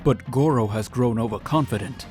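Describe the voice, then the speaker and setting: A man's voice: mid-range voice, moderate pace, darkish tone, faint music behind, lightly acted. A man voicing an earnest, respectful and determined young fighting monk in a comic dub. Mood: resolute. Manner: respectful, resolute.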